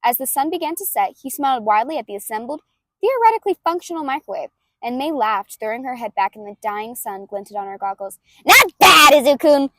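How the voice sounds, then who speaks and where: High-pitched woman reading aloud, dry sound. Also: expressive, fast, no room sound. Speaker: amateur fan fiction reader. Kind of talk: expressive story narration and casual asides. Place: outdoors in a park near a road.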